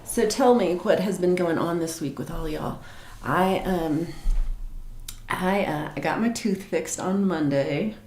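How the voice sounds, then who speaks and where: A woman with a notably low, deep voice chats loosely on her livestream, wandering through her week with lots of fillers and restarts. She sounds like she is in an echoey room at home. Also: unhurried, a little mellow, slight background noise. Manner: chatty, rambling, many fillers and restarts. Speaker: livestream host. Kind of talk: casual live chat reading comments.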